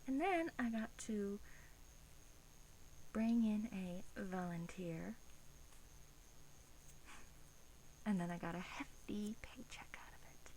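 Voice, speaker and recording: A woman acts out an ancient female vampire in an intimate ASMR roleplay. She speaks slowly and softly, drifting into whispers as she muses. She is smug and predatory, yet doting. Faint noise sits behind the voice. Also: medium pitch, close to an everyday voice, rough recording. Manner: slow, hushed, slipping into whispers, musing. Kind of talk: soft-spoken ASMR roleplay.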